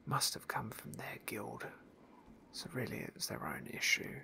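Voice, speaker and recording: A man narrating a game playthrough in a soft, wry ASMR whisper. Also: lowish voice, very animated, a little slow, creaky. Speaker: ASMR gaming host.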